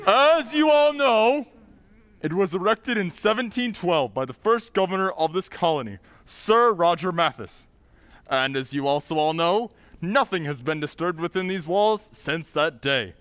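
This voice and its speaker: A man's voice: high voice, fairly bright tone. A man playing an officious town meeting leader, speaking formally and emphatically like an orator in a radio drama. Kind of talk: old-time radio drama acting.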